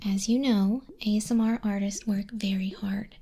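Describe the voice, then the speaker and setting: A woman playing a smug demon clerk in an ASMR roleplay, soft-spoken and often whispering, with a breathy, husky, condescending and teasing delivery. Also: mid-range voice, steady tone, normal speed. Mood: smug, scolding. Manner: condescending, teasing, dryly bureaucratic. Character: smug female demon clerk registering sinners in hell.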